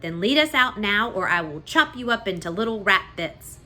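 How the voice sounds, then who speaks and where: A woman voicing a rough-spoken, stubborn young serving girl who drawls her words slowly and loudly. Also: mid-range voice, animated, a little hiss or hum, voice pushed up. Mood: defiant. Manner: slow, loud and coarse with dropped words.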